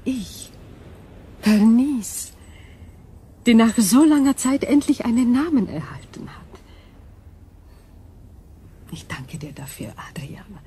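Cool, mellow alien crystal intelligence. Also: female voice, on the high side, animated, slow, pauses often, a little hiss or hum. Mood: calm, proud. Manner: formal, precise, analytical phrasing. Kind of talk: radio drama acting.

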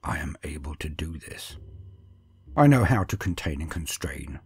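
A man playing a brooding, defiant village sorcerer, telling a dark fantasy tale in a hushed, deliberate way. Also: rumbling low, sing-song, slightly rough, no echo, exaggerated voice. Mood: brooding resolve. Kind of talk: dark fantasy first-person storytelling.

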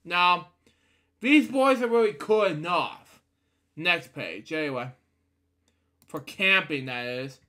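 Bright-voiced man reading slowly, fairly high. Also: lively intonation. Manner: halting, often breaks off to comment. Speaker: storybook reader. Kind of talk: read-aloud bedtime story with asides. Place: indoor room at a computer.

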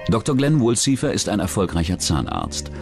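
A man narrating a scripted true-crime documentary in a measured, matter-of-fact manner. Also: low voice, wildly swooping pitch, gravelly. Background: background music.

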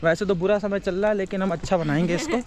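A young man with a high voice chats to his viewers about his trip. He holds the camera at arm's length outdoors, and plenty of outdoor noise runs under his voice. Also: steady tone, fast. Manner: chatty, addresses viewers as friends. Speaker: vlogger. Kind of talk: casual travel vlog. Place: outdoors by a river camp in hills. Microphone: camera held at arm's length.

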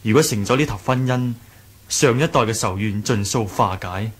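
An actor playing an earnest, modest young martial sect leader in a radio drama, measured and courteous, with a Hong Kong Cantonese accent. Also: male voice, fairly low pitch, breathy edge. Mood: gentle, persuasive. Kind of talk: 1980s radio drama acting.

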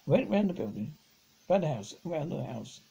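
A middle-aged man reads a children's novel aloud in a raspy, mellow voice. His pitch sits a little high and swings about freely. The delivery is halting, with stumbled and repeated words. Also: sing-song. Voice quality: husky, gravelly. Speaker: amateur audiobook reader. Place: living room at home, on a sofa.

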